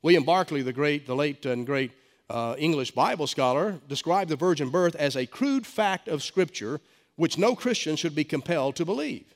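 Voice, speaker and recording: A man's voice: a little high, animated, moderate pace. A middle-aged male pastor with a head cold, preaching a sermon with emphatic stresses and stammered restarts. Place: church sanctuary. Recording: long hall reverb.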